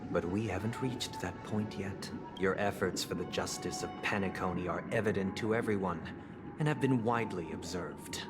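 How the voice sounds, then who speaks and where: A man playing a composed, solemn idealist leader in a video game, speaking in a measured, formal, oratorical way. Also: deep voice, natural voice. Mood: coolly polite. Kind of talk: dramatic video game voice acting. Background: background music.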